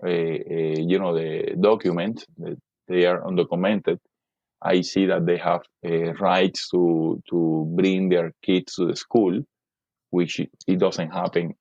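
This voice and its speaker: A middle-aged man from Cuba with a Cuban Spanish accent, a native Spanish speaker, talking as a podcast guest in halting speech full of fillers and repeated words. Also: sits low, flat delivery, creaky, a little mellow, dry recording. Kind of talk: casual interview talk. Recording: dull lo-fi dry sound.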